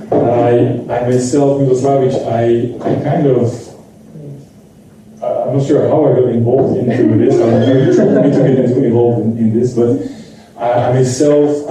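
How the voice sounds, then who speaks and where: A man's voice: mid-range voice, background noise. A man moderating a conference panel, chatty and hesitant, often repeating his words as he introduces guests. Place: conference room. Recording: echoey hall with faint ambience.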